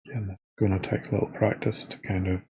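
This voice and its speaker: A man with a soft, husky voice leading a guided body scan meditation in an informal, unscripted, halting way. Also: low-pitched, flat delivery, slight background noise. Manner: hesitant, halting delivery with trailing phrases.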